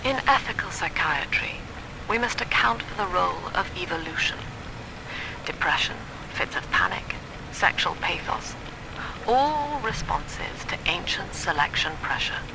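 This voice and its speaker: An actress playing a cool, quietly menacing woman psychiatrist, lecturing formally in a game audio diary. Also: fairly low pitch, big pitch swings, a little slow, creaky, noisy background, exaggerated voice. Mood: clinical, cold.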